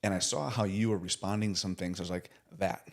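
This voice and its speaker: Podcast host, man, 40s, very low voice, earnest and casual. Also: steady tone, fast, gravelly. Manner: earnest, warm praise with casual slang. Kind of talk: casual interview chat.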